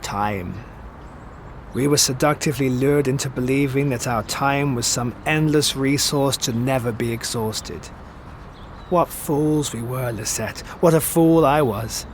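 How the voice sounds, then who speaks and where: An actor playing a wistful, evasive immortal man in an audio drama, speaking with theatrical eloquence that turns halting when he is pressed. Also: mid-range voice, a little hiss or hum, natural voice. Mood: grieving, bitter.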